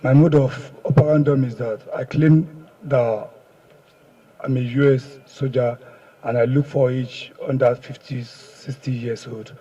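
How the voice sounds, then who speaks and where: Very dark, husky Nigerian man, muffled. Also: medium pitch, fairly even intonation, measured pace. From Ekiti State, Nigeria. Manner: deferential, guarded answers. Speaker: paraded fraud suspect being questioned. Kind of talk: public confession under questioning. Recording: dull, muffled audio.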